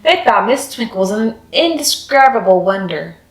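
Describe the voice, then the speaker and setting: A woman's voice: medium pitch, very animated, moderate pace. A woman narrating a children's picture book as a read-aloud storyteller. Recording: roomy echo with faint music.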